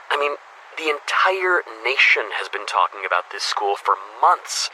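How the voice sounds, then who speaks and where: A man's voice: mid-range voice, bright, forceful tone, a little hiss or hum, rough recording. A man playing an earnest, reflective school headmaster in a video game, confiding his thoughts in a conversational, musing audio diary. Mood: eager, amazed. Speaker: headmaster character.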